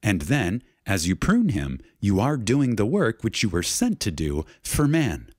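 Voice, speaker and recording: A man reading a spiritual lecture aloud in a reverent, dramatic way, solemn and emphatic, with deliberate pauses. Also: lowish voice, huge pitch swings, dry recording, clean studio sound.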